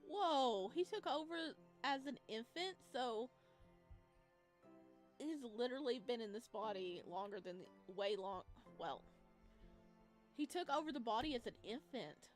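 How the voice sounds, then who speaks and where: Expressive woman doing casual game commentary. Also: a little high, measured pace. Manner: expressive, reactive, informal. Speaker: gaming video host. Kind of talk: casual let's play commentary. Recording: music behind the voice. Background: game music.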